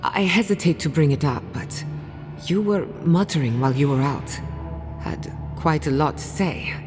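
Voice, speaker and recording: An inquisitive scholar in a fantasy game. Her voice is low, measured and expressive, with a wry edge. It rings in a reverberant space with faint music behind. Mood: curious. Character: woman scholar of spirit science, inquisitive, wry. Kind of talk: video game voice acting. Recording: reverberant hall, music underneath.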